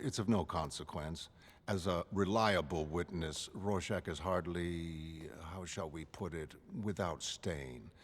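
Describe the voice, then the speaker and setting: A man voicing a self-assured, cultured male mastermind in a motion comic, speaking in measured, formal, theatrical phrases. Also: sits low, full character voice. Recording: long reverb under music.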